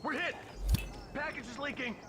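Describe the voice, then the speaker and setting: A man's voice: extremely high pitch, steady tone, moderate pace. An actor playing a panicked man in a video game emergency, calling out urgently and frantically. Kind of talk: video game action acting. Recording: loud noise, vehicle and ambience behind.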